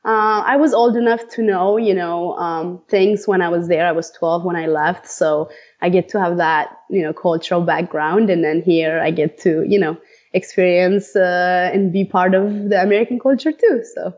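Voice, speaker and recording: A woman's voice: fairly low pitch, steady tone, moderate pace, darkish tone, muffled recording. A woman in her thirties, born in Ethiopia, chatting casually as an interview guest with frequent laughs and many fillers. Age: 30s. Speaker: guest, runner.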